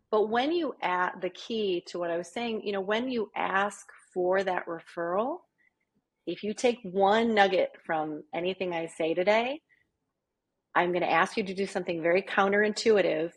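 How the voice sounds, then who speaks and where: Husky woman, chatty business coach. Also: lowish voice. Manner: chatty, keeps asking "right?". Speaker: small business coach, guest. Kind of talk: podcast interview.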